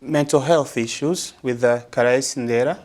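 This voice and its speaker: A man speaks at an unhurried, middling pitch. He weighs his words and often stops to search for the next one. His answers come slowly and thoughtfully. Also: a little mellow. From Congo. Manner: measured and thoughtful, with frequent pauses and fillers. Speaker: guest, religious brother and psychologist. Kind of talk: interview answers.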